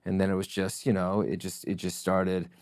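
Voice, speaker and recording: Russian-native man, middle-aged, deep voice, dry close mic, thoughtful interview guest. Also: monotone, a little mellow, dry recording. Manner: reflective, measured storytelling. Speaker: podcast guest. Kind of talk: casual interview chat.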